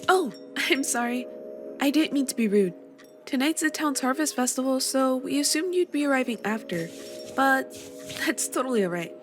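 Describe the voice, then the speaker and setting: A woman playing a bright, friendly guild master. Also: sits high, animated, normal speed. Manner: warm and welcoming, a little bossy. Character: friendly, busy young woman who runs the guild. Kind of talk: scripted voice drama. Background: faint background music.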